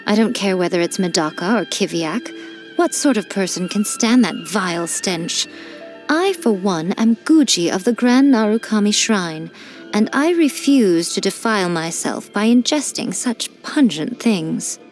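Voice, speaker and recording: A woman's voice: medium pitch, lively intonation, unhurried, faint music behind, close to an everyday voice. A woman voicing a mischievous fox-spirit shrine priestess in a game, playful and teasing. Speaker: game character. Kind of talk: studio game voice lines.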